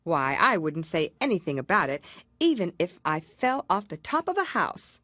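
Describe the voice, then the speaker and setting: Curious little storybook girl, acted by a woman, chatty, dreamy, musing to herself, animated intonation. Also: lowish voice, moderate pace, no echo. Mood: proud, musing.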